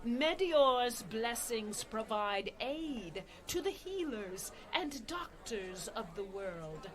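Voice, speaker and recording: A woman playing a gentle, devout and very polite cleric in a comedy radio play, earnest in every phrase. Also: on the high side.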